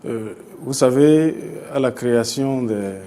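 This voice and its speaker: Male expert guest, Beninese French accent, dark soft-edged tone, halting explanatory delivery, audible noise. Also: medium pitch. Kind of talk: explanatory interview answers. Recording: clear background noise.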